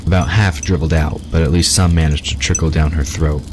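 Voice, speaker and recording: A man's voice: extremely low pitch, steady tone, brisk, noisy background. A man reading scripted fiction as the narrator of an audio drama.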